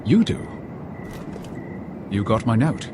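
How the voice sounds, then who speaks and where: A low-voiced, courteous, treacherous officer. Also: male voice, big pitch swings, slow, background noise. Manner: courteous with veiled menace. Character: smooth-talking, treacherous male officer turned assassin. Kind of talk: dramatic video game acting.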